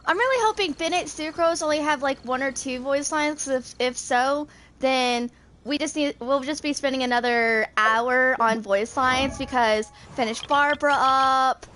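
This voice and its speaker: Woman, twenties, higher-pitched voice. Casual gaming video creator, rambles and thinks aloud with hesitant delivery. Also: normal speed, fairly bright tone, a little hiss or hum. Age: 20s. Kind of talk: casual rambling gameplay commentary.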